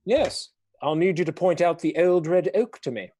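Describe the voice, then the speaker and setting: A man acting a scheming, commanding earl in a radio play, speaking in a measured, formal way. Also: high-pitched, mellow, husky. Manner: measured, formal, archaic phrasing. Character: scheming, commanding male Earl, coolly menacing nobleman.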